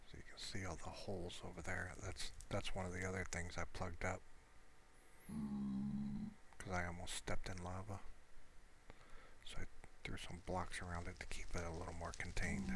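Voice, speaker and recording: A man giving casual, rambling game commentary in a quiet murmur that often slips toward a whisper. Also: deep voice, level tone, normal speed, long pauses. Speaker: gamer narrating own gameplay. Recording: clearly noisy home recording.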